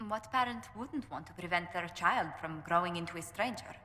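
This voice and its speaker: A woman's voice: lowish voice, brisk, pushed and bright, a little hiss or hum. An actress playing a courteous, poised noblewoman ambassador in a video game, speaking formally with dry humour. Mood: courteous, businesslike. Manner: formal, refined, diplomatic phrasing. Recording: echoey hall with long reverb.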